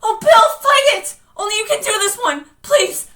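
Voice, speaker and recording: Desperate, shrieking, very high-pitched woman, acted by a narrator. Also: expressive, moderate pace, a bit bright, far from the mic. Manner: shrieking, pleading. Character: desperate screaming woman pleading with a friend.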